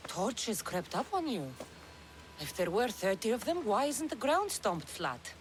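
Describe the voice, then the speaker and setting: An actress playing a commanding, dry-witted mercenary heroine in a video game. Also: female voice, mid-range voice, sing-song, unhurried, slight background noise. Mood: wry. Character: commanding female mercenary hero.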